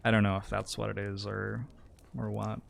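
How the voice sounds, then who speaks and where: Low creaky-voiced streamer guy from new zealand, musing slowly through ideas with his chat. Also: monotone, faint music behind. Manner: thoughtful, musing, hesitant. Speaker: game streamer. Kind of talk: casual livestream chat.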